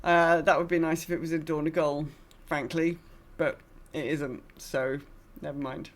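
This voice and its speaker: A woman's voice: low-pitched, flat delivery, normal speed, slight background noise. A woman hosting a gaming podcast, chatting casually in a rambling, self-deprecating way. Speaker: podcast host.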